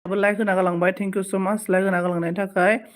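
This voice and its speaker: Man, high pitch, monotone delivery, casual live stream host, repetitive thanks. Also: fast. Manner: switches between Bodo, Hindi and English phrases; repetitive thanks and requests for support.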